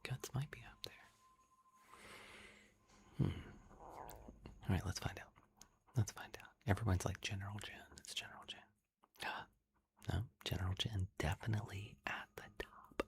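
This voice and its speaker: A livestream host chatting in a soft, giggly ASMR whisper, thanking viewers. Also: low voice, measured pace, creaky, long pauses.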